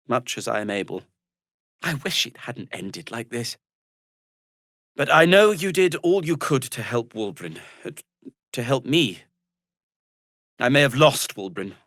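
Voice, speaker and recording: A kind-hearted gnome man from a video game, loyal and wry but world-weary. His voice is fairly high and bright, and it swings widely in pitch. He speaks hesitantly, with a self-deprecating air. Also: dry recording, studio quality, exaggerated voice. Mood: sorrowful, weary. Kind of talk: video game character dialogue.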